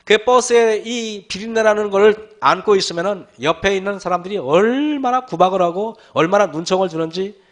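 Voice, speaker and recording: A man preaching to his congregation with a voice that sits noticeably high for a man. His throat is hoarse, and he clears it often. He speaks earnestly, repeatedly turning to his listeners with rhetorical questions. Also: normal speed, hardly any pauses. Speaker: pastor. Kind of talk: sermon to a congregation.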